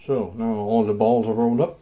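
A man casually narrating a home cooking vlog in a laid-back, somewhat halting way. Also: on the low side, steady tone, lo-fi sound. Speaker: home cook, video host. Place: indoors in a home kitchen.

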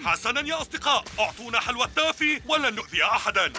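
An actor playing a big, boastful, chummy surfer shark villain in a cartoon dub, with music behind him. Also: male voice, extremely high pitch, expressive, racing, sharp tone, heavily acted. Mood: commanding.

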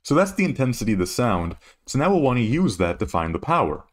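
A man's voice: mid-range voice, big pitch swings, fast talker. A man working as a physics tutor, explaining a worked problem methodically, step by step. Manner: methodical, instructional.

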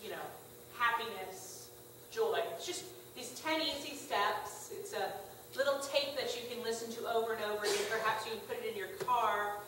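A middle-aged woman pastor giving a conversational, gently humorous sermon in a small church. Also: fairly high pitch, normal speed, hardly any pauses, background noise. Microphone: far room camera. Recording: echoey church from far off.

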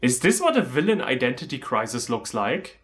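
Animated man with a German accent. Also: mid-range voice, normal speed. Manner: sarcastic, theatrical. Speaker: film reviewer. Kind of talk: scripted comedic review narration. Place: small room indoors, on a couch.

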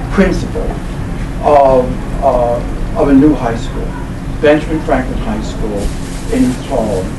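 Man in his seventies, informal talk, halting phrasing, emphatic stresses, distant mic, noisy room. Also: on the high side. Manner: halting delivery with repeated words and emphatic stresses. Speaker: lecturer, professor. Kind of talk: informal public lecture, book presentation. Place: small indoor meeting room. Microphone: camera mic a few metres away. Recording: roomy, distant mic, loud ventilation hum.

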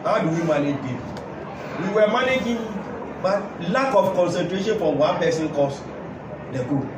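A man's voice: sits high, expressive, moderate pace. A middle-aged man, a football coach answering at a post-match press conference, emphatic and repeating his points. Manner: emphatic, repeats key points, gestures while talking. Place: indoor press room with sponsor backdrop. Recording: echoey room, mic far off, loud crowd noise.

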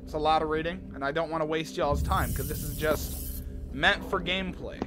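A young man in his twenties with a hoarse, sore throat, casually commentating a game from a small bedroom, swearing often and reacting loudly. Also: a little high, fairly even intonation, normal speed, over music. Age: 20s. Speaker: gaming YouTuber. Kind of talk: casual let's play commentary. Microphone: desk mic, webcam in corner.

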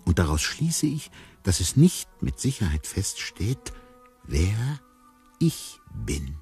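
An actor playing an enigmatic circus tiger in a radio play, musing on who he is in a halting, deliberate way. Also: low-pitched, warm tone, husky, exaggerated voice. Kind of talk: radio play narration addressed to the listener.

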